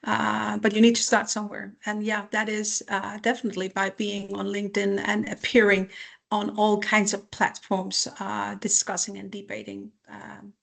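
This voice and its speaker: Gravelly, practical middle-aged woman, ex-CEO guest answering webinar questions. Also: mid-range voice, completely flat delivery, normal speed, fairly bright tone. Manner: practical, advice-giving explanations. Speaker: former company CEO, guest speaker.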